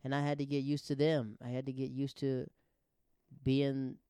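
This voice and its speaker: A man's voice: mid-range voice, level tone, moderate pace, warm tone, clean tone, no room sound, studio quality. A man talking in a casual, conversational monologue as the host of a show, often acting out remarks he quotes. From grew up abroad in the Netherlands and Japan. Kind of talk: casual personal monologue on social issues.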